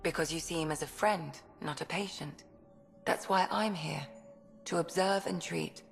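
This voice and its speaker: A science-minded ship's doctor in a space game, her voice very low for a woman and full of fry. She is frank and clinical, with long reverb and music around her. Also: normal speed. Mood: firm, clinical. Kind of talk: video game cutscene acting. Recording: reverberant hall, music under voice.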